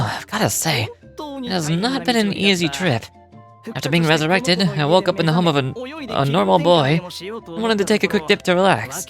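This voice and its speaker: An actor voicing a revived ancient ruler in a boy's body, wry and easygoing, in dramatic video game dialogue with a deliberate delivery. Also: mid-range voice, lightly acted. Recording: game music behind the voice.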